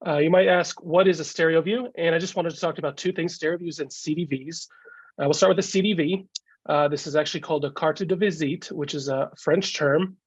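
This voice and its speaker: A man with a cold talks through a slideshow over a video call, his voice gravelly and a touch higher than most. He halts often on fillers. The sound is dull and dry. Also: steady tone, no room sound. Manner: halting, many "uh" fillers. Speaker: guest speaker, collector. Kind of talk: casual slideshow talk.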